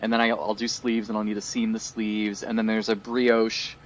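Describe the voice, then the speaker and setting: A man's voice: on the low side, little pitch movement, moderate pace, muffled recording. A man co-hosting a casual chat podcast, rambling and full of fillers while he is losing his voice. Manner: chatty and rambling, many fillers. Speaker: podcast co-host.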